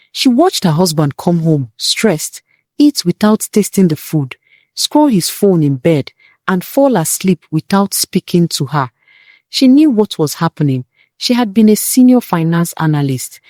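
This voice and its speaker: A woman narrating a scripted dramatic family tale as a storyteller, in deliberate, measured phrases with pauses for weight. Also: fairly low pitch, dark tone, dry recording, clean studio sound.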